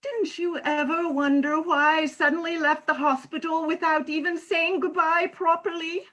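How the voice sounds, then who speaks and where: Woman acting a high, bright, wry former nurse. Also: fairly even intonation, moderate pace. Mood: nervous, confessing. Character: frank, wry middle-aged former nurse, old flame. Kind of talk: comic stage play reading.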